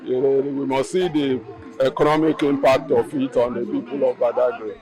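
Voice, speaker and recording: A man's voice: medium pitch, fairly even intonation, moderate pace, a crowd in the background. A man giving an interview answer, stammering with many fillers. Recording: interview over loud music. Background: loud music.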